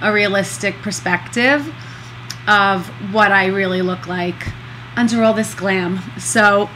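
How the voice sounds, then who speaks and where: Slow, earnest woman with a stuffy nose. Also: mid-range voice, sharp tone, slight background noise, rough recording. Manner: earnest, slow, many pauses and fillers. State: congested. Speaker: vlogger recovering from nose surgery. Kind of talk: casual diary-style video.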